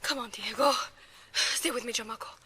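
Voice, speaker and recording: A woman's voice: on the high side, normal speed, bright, forceful tone, husky. A woman playing a tough, protective young guerrilla in a game cutscene, speaking bluntly and urgently. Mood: desperate. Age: young adult. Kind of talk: dramatic video game cutscene acting.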